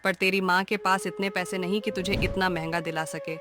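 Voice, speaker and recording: A woman voicing a humble, hardworking middle-aged mother who sells vegetables, earnest and deferential, in an animated moral story. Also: fairly low pitch, a little fast, over music, lightly acted. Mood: tender.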